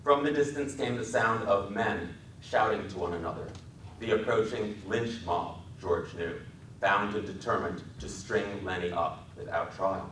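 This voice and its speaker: A man's voice: fairly low pitch, a little slow, fairly bright tone. An American man narrating a literary story as a dramatic reading. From Wisconsin, United States. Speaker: author and dramatic reader. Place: public library event room. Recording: echoing hall, distant mic, faint noise.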